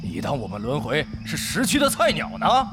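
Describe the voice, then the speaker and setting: A hot-headed guild leader in a game-world radio drama. His fairly high voice swings dramatically as he challenges and declaims, combative throughout, with loud music underneath. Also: huge pitch swings, brisk. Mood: indignant, defiant. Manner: confrontational, declamatory. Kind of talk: Chinese audio drama acting of an online game story.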